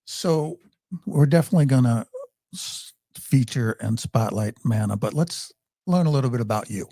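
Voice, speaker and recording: A man's voice: mid-range voice, expressive, normal speed, warm tone, no room sound. A man hosting a podcast, chatting in a friendly way and asking his guest about her life. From Michigan. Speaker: podcast host. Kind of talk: casual interview.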